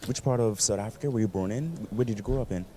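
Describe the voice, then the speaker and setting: A woman's voice: a bass voice, fast, dark tone. A woman interviewer asking short, probing questions.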